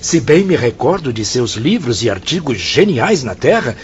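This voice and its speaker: An actor playing a courteous, expressive convalescent spirit in an audio drama, formal and emphatic. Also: male voice, mid-range voice, normal speed, close to an everyday voice. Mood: teasing, admiring. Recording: dull, noisy audio with ambience.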